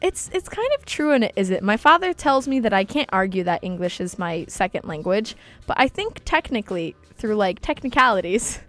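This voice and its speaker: A young woman whose first language is Polish hosts a relaxed radio chat, bubbly and talkative, her stories animated and full of energy. Her voice sits at an ordinary middle pitch, with soft music playing faintly underneath. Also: moderate pace. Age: young adult. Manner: bubbly, chatty, animated storytelling. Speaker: radio show host. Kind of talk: casual chat. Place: college radio studio.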